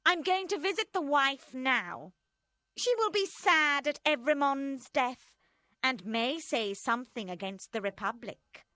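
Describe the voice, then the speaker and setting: A woman voicing a cold, vengeful female revolutionary in an audiobook, speaking slowly and emphatically. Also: sits high, animated, sharp tone, dry recording, pitched above the performer's own voice, heavily acted. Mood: ominous.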